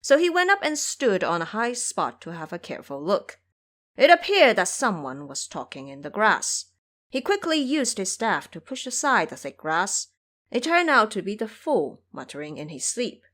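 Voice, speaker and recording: A woman's voice: medium pitch, big pitch swings, normal speed, fairly bright tone. A woman narrating a scripted audio drama as a dramatic storyteller.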